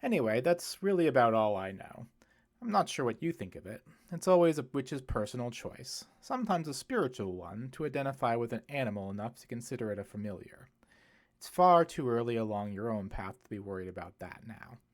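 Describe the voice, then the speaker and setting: A man voicing a kindly priest, very animated intonation. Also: medium pitch, brisk, lightly acted. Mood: gentle, informative. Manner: reflective, explanatory, gently apologetic. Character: kindly, musing, gently witty adult male priest. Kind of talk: audiobook character dialogue.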